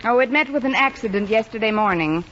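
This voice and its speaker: A woman's voice: a little high, lively intonation, fast. An actress playing a wry, wisecracking, hopeful woman schoolteacher in an old-time radio sitcom, with a dry, sardonic delivery. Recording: dull vintage radio recording, noisy, loud audience.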